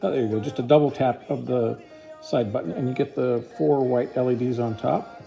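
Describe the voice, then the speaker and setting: A man's voice: medium pitch, brisk, creaky, mellow, soft background music. A man giving a casual, informal product review, explaining features plainly step by step.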